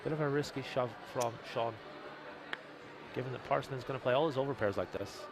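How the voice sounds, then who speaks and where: A man commentating a live poker stream from a casino booth, reading out chat and cracking quick one-liners in a soothing radio voice. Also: medium pitch, background noise.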